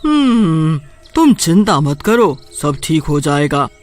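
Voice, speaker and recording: A woman puts on a kindly witch from a spooky folk tale. She draws her words out soothingly in a low, unhurried voice, over faint music. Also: very animated, exaggerated voice. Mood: reassuring, amused. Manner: drawn-out, soothing. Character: kindly female witch ghost, slow and reassuring.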